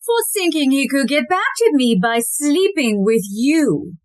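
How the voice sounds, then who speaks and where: Woman playing a high, menacing murderous society wife. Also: very animated, measured pace, a little mellow, dry recording. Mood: cold, menacing. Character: cold, menacing murderous society wife holding people at gunpoint. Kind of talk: audio play acting.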